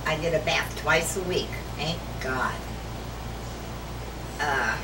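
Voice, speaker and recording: Woman in her fifties, slow matter-of-fact speech, distant echoey room, background noise. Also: deep voice. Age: 50s. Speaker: interviewee. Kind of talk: casual testimony. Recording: noisy, echoey, distant from mic.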